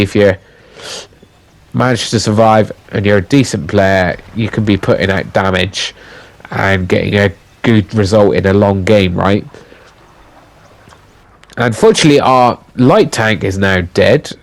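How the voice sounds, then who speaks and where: Male gaming commentator, slightly low, croaky and congested, halting voiceover full of fillers. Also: dry recording. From United Kingdom. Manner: halting, with many fillers and restarts. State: croaky voice with a blocked nose from illness.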